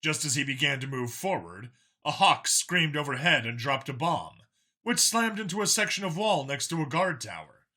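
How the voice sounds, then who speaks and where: A man narrates a scripted story in a dramatic audiobook style. His voice sits a little high and has a bright, crisp edge. The intonation is lively and varied. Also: normal speed.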